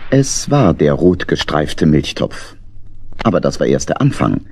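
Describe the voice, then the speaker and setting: A man narrating a children's story as an expressive scripted storyteller. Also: rumbling low, measured pace.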